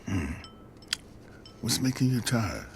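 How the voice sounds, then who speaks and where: A man's voice: lowish voice, very animated, slow, slight background noise. A man acting a weary, plainspoken middle-aged father in an audio drama. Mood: gruff concern. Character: weary, plainspoken father, haunted by his failings.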